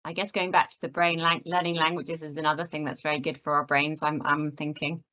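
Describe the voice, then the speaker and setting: Woman host, online Q&A moderator, low and bright, polite, dull call audio. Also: little pitch movement, a little fast, no echo.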